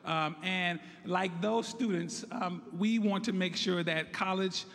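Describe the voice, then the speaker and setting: Hoarse high-voiced man in an echoey hall. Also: slow pace, a bit bright. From San Francisco, United States. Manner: earnest, thanking and introducing guests to an audience. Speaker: site director of a youth college program. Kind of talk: ceremonial public speech. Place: large hall at a groundbreaking event. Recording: echoey hall with crowd murmur.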